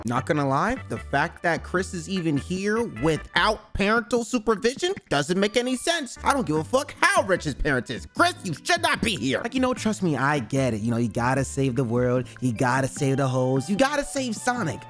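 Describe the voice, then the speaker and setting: A man's voice: fairly high pitch, sing-song, normal speed, hardly any pauses, fairly bright tone, soft background music. A man doing casual comedic guest commentary, slangy and profane, drifting into tangents and amused by his own jokes. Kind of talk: casual rambling comedic chat.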